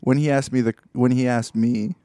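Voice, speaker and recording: Male co-host, soft dark timbre, dry close sound, wry and musing in informal comic conversation. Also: mid-range voice, steady tone, moderate pace, dry recording. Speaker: podcast co-host. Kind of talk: casual comedic chat.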